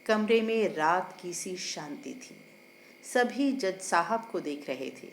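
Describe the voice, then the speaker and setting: A woman narrating a scripted story in a deliberate, expository manner. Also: fairly low pitch, lively intonation. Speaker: author narrating her own serial story. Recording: roomy echo, faint background noise.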